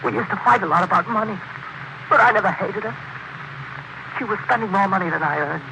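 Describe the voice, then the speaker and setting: An actor playing a frightened, anguished young condemned prisoner in a 1940s radio drama, pleading and remorseful. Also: male voice, sits high, fairly quick, gravelly. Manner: pleading, anguished, increasingly hysterical. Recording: noisy old 1940s radio recording.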